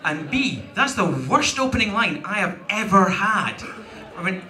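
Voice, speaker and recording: A man's voice: high-pitched, very animated, unhurried, pushed and bright. A man with a Scottish accent, a panel host chatting to an audience in a wry, digressive, emphatic way. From Scotland. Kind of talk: casual chat and anecdotes to an audience. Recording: echoey convention hall PA.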